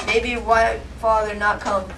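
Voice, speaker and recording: A woman's voice: fairly high pitch, level tone, takes it slowly, far from the mic, background noise. A woman voicing an insistent old Native grandfather who speaks clipped broken English.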